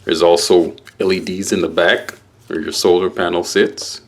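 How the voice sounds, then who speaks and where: A man giving a casual, halting unboxing walkthrough as a product reviewer, explaining things piece by piece. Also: low-pitched, level tone, creaky, slight background noise.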